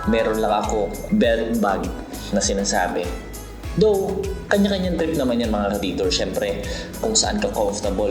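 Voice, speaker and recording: A Filipino man narrating a motorcycle vlog in a casual, conversational, explanatory way, mixing Tagalog and English. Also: on the low side. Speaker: motorcycle vlogger. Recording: roomy echo, loud background music. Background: music.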